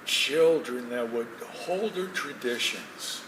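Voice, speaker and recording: Stage drama role: man playing tender elderly man full of regret, slow halting delivery, recorded far from the mic. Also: mid-range voice, expressive. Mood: anguished. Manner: halting, emotional, repeating words. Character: elderly divorced man, regretful, tender, longing for lost love. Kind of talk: stage play acting. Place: theatre stage, distant mic. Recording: echoey stage from far off, noisy.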